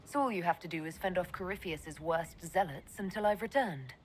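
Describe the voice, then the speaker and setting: Low, commanding elf mage heroine, acted by a woman. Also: a little fast, a little hiss or hum, close to an everyday voice. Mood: cool, firm. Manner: authoritative, sardonic. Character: sharp, commanding elf mage leader, confident and dry. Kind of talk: fantasy game dialogue.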